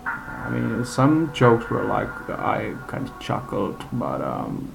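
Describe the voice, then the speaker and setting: Male amateur reviewer, gravelly and unhurried, halting and blunt, unscripted; radio music audible behind him. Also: mid-range voice, sing-song, rough recording. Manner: halting, rambling delivery. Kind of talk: unscripted casual rant review. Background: music from a live radio.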